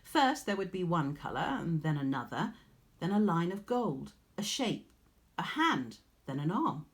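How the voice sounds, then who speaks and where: A woman with an English accent narrates a children's story like a teacher. Her voice sits a little low, moving slowly with lively, animated intonation.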